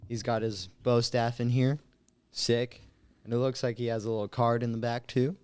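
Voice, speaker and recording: An eighteen-year-old man chatting casually as a guest, halting, with youthful slang and lots of "like". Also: medium pitch, level tone, a little mellow, smooth, no echo. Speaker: guest, high school senior. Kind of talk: casual chat. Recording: noticeable background noise.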